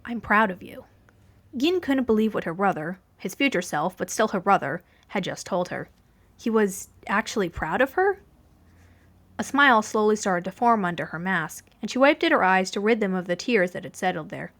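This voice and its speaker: A woman narrates a fan-fiction story in a mid-pitched voice. Her reading is expressive, with intonation that moves up and down a lot. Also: normal speed.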